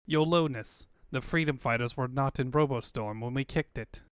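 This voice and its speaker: A man's voice: medium pitch, moderate pace, clean tone, no room sound, natural voice. A man voicing an obsequious, dry cartoon henchman serving a villainous master. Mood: servile.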